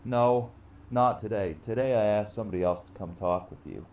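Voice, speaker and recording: Man as considerate community leader, deep voice, serious, deliberate, audio drama. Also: darkish tone, dull audio, natural voice. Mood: matter-of-fact.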